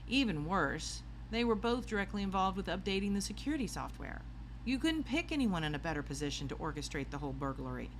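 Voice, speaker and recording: Woman voicing a lively young boy detective. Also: mid-range voice, fast, a little hiss or hum, voice pushed up, natural voice. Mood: earnest, reasoning. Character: young boy detective, eager, logical, curious.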